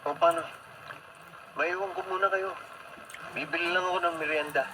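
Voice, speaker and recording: A man's voice: sits high, steady tone, normal speed, natural voice. An actor with a Filipino accent playing a lovestruck, dramatic young male student in a radio drama, pleading and persistent. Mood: hopeful, wooing. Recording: very noisy radio broadcast.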